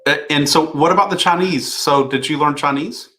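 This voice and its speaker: A man hosting a casual livestream Q&A, talking things through in a relaxed way with plenty of fillers. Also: on the high side, moderate pace. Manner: relaxed, thinking aloud with fillers. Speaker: host.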